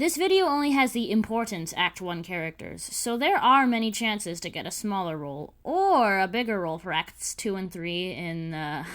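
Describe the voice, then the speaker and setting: A woman's voice: mid-range voice, a bit bright. A woman narrating scripted audition instructions and character descriptions, with precise, emphatic enunciation. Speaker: creator of an animated series giving a casting call.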